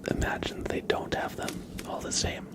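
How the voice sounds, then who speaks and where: A man hosting a live ASMR stream, chatting casually and haltingly in a soft, breathy whisper with a relaxed, musing manner. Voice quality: soft, breathy whisper with some creaky low voicing. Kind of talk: whispered ASMR livestream chat.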